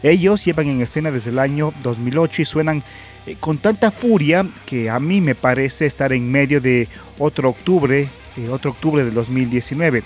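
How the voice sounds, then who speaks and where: Halting podcast host over music. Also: male voice, medium pitch. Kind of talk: podcast introduction and interview. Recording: music playing behind the voice.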